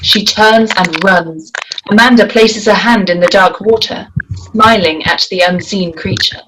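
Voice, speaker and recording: A woman's voice: mid-range voice, measured pace, background noise. A woman reading scripted stage directions as the narrator of a screenplay.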